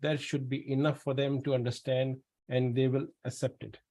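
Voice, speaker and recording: A man's voice: mid-range voice, flat delivery, normal speed, mellow. A man hosting a pharmacy webinar over a video call, chatty and repeating his words as he tells anecdotes. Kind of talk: casual webinar Q&A.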